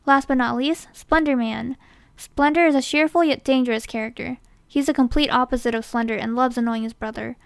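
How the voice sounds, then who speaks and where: Female casting-call host: smooth high voice, scripted explainer delivery, dry close sound. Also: steady tone, fairly quick, no echo. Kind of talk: scripted narration.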